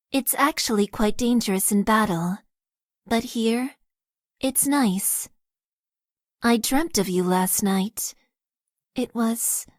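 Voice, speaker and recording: A shy, stiff young mecha pilot. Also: female voice, fairly high pitch, no room sound, studio quality. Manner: soft-spoken, stiff and literal. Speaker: voice actress. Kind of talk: game character voice lines.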